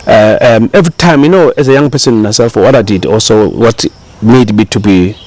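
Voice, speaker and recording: A man's voice: lowish voice, fairly quick, darkish tone. A middle-aged man with a South African accent, a chef talking casually as an interview guest, rambling with many fillers and restarts.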